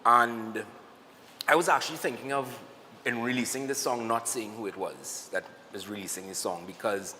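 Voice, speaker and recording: A man with a Trinidadian accent, a guest on a morning TV show, telling animated stories and acting out what people said to him. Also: medium pitch, moderate pace, in a large hall, a little hiss or hum. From Trinidad and Tobago. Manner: animated storyteller, acts out quotes. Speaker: guest, cyclist and singer. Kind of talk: casual interview chat.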